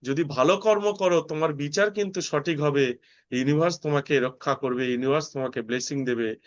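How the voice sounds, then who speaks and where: High-voiced man teaching, dull recording. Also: a little fast. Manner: emphatic, repeats phrases, checks understanding. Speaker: tarot course teacher. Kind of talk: online lesson with worked examples. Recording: dull lo-fi sound.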